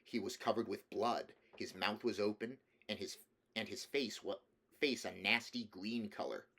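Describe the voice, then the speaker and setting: Male audiobook reader, low register, scripted storybook narration. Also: normal speed, creaky, pushed and bright.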